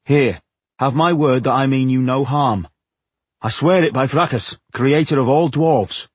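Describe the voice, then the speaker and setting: A man's voice: medium pitch, sing-song, moderate pace, a little mellow, breathy edge, no echo. A man voicing an earnest, firm and polite dwarf traveller in an audiobook, speaking formally and courteously. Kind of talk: audiobook character dialogue.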